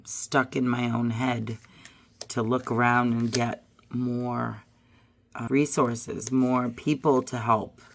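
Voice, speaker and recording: Hoarse, deep-voiced woman pulling tarot cards. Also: a little hiss or hum. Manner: musing aloud, drifting to soft murmurs. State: hoarse from a cold. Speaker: tarot reader. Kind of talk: casual card-reading vlog.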